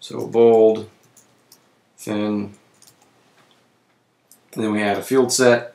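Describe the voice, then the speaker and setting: A man with a voice that is giving out, who clears his throat often and keeps correcting himself while walking through software on screen. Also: on the low side, level tone, very slow, roomy sound. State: losing his voice. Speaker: web tutorial presenter. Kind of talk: screen-recorded software walkthrough.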